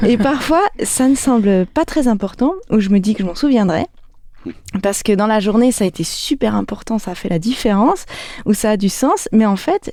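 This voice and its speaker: A woman with a mellow, mid-pitched voice in a dry studio. She talks conversationally as a show columnist, candid and gently poking fun at herself. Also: no room sound. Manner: candid, self-mocking. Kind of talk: personal reflection, conversational. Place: radio studio.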